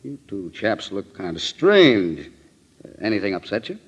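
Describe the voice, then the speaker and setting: Cool, low-voiced male suspect. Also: very animated, normal speed, husky. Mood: cool, probing. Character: male racing-world suspect, cool and unruffled. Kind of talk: 1940s radio drama acting. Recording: dull old radio recording.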